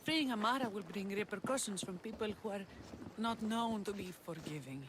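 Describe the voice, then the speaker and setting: A woman's voice: medium pitch, expressive, normal speed, noisy background, close to an everyday voice. An actress playing a guarded Greek woman hardened by danger in a video game, solemn and intense. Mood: grave, grateful. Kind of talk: video game cutscene acting.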